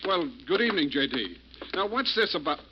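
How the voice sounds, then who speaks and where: An actor playing a calm man in an old radio drama. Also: on the high side, brisk, noisy background. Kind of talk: 1940s radio acting. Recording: dull old radio broadcast.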